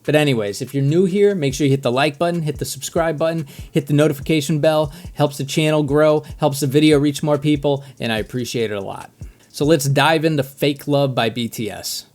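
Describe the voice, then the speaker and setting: A man's voice: on the high side, a little fast, a little mellow. A man who is a native English speaker, giving casual, reflective commentary as the host of a music reaction video.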